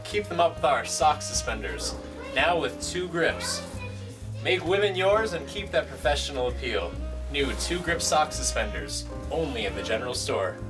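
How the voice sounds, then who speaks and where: A man's voice: on the high side, very animated, moderate pace. A man playing a punchy 1920s advertisement announcer in an amateur mock commercial. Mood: salesy. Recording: distant mic, guitar music behind.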